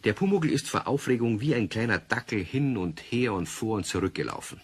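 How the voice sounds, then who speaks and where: A man narrating a children's radio play as a husky-voiced storyteller. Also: fairly low pitch, lively intonation, brisk.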